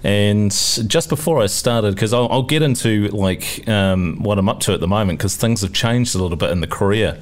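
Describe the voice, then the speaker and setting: Young NZ male podcast co-host, low voice, loose and chatty, lots of restarts. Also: moderate pace, few pauses. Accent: New Zealand. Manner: chatty, laughs often, repeats words when starting. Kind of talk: casual chat. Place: apartment with street traffic.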